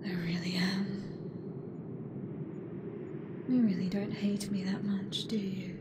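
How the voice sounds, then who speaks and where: A British woman playing a hateful, gloating sentient AI god in an ASMR roleplay, with a theatrical, mocking, often breathy delivery. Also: medium pitch, flat delivery, slow, heavy background noise, natural voice. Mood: mocking, coy.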